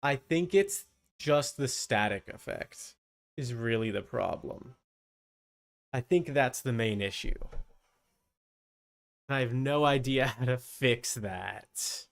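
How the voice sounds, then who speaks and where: A man's voice: medium pitch, expressive, takes it slowly, creaky, pauses often. A man chatting casually on a game livestream, thinking aloud and muttering to himself. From Canada. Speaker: game livestreamer using an avatar.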